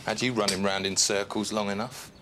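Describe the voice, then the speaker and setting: An actor playing a cocky, flippant British suspect in a TV drama. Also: male voice, fairly low pitch, fairly even intonation, fairly quick, background noise, natural voice.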